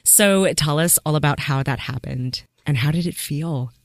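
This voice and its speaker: A woman's voice: deep voice, moderate pace, no echo. Chatty woman podcast host, dry sound. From American Southwest. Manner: enthusiastic, chatty, laughs often. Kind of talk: casual interview.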